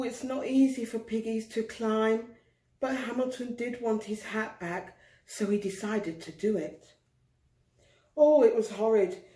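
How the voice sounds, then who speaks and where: A woman reading a picture book aloud to children, expressive and deliberate, drawing out words for emphasis. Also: a little high. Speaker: storyteller. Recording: roomy, echoing indoor sound.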